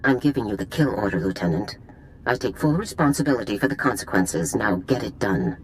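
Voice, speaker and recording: Casting: cold, authoritative general in a sci-fi game; lively pitch. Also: female voice, brisk, a little hiss or hum, poor audio. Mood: commanding. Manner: clipped, authoritative, unbending. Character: stern female general, cold and commanding. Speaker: army general. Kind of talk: video game cutscene acting.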